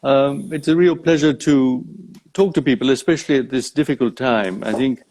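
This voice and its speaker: An older man from Uganda talks as a guest in a live video chat. He speaks in a reflective, measured way, often pausing and rephrasing. His pitch sits in an average male range. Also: a little mellow. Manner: halting, thoughtful, measured phrasing. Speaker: interview guest, safari company founder.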